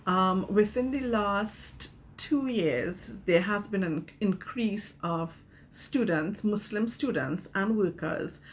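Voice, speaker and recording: A woman, a teacher explaining things calmly in a video message from home. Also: medium pitch, slow, hardly any pauses, a little hiss or hum. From Trinidad and Tobago. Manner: calm, explanatory, with fillers. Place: small room at home, indoors. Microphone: webcam, video call.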